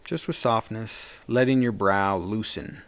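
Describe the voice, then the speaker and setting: A man leading a guided meditation as a therapist, speaking in a gentle, soothing and reassuring way. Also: on the low side, unhurried.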